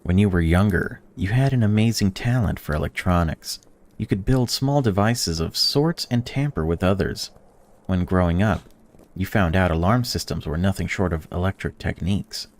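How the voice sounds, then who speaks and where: A young man in a let's-play, reading a visual novel aloud and reacting to it, swearing freely and swinging between excited outbursts and flat reading. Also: low-pitched, normal speed. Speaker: gaming YouTuber.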